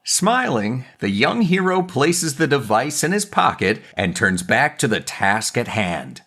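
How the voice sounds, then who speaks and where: A man's voice: medium pitch, sing-song, measured pace, sharp tone, exaggerated voice. A man narrating a superhero audio drama in an earnest, dramatic scripted storytelling style, with background noise. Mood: descriptive.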